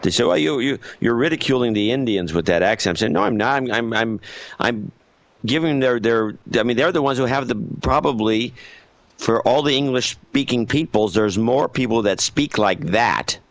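A man co-hosting a podcast, talking in an opinionated, animated way in casual chat. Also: mid-range voice, moderate pace, gravelly, no echo. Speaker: podcast co-host.

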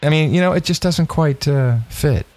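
A man's voice: on the high side, moderate pace, a little mellow. A middle-aged man co-hosting a podcast in casual chat, joking and sarcastic. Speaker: podcast co-host.

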